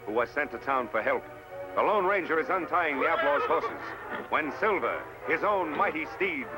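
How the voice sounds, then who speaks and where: A man's voice: a little high, animated, normal speed, over loud music, natural voice, rough recording. A man narrating an old-time radio western in a formal, declamatory, dramatic scripted style. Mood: dramatic, suspenseful. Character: dramatic storyteller announcer of a western serial. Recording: old radio broadcast recording.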